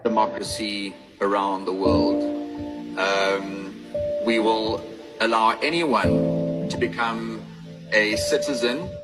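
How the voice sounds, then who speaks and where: A man in a played clip, giving a slow, deliberate statement with pauses. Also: lowish voice, fairly even intonation, poor audio. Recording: played clip with loud guitar music behind.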